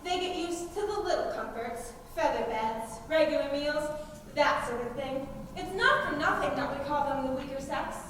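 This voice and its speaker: Far from the mic in a reverberant hall, a cocky outlaw queen played by a teenage girl holds forth in a high voice. She is brash, mocking and theatrical, a stage-play leader who loves to tease. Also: expressive, moderate pace, fairly bright tone. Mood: breezy, assured. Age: teens. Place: large indoor school performance space. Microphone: distant camera or room microphone. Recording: echoey, noisy hall, recorded from far off.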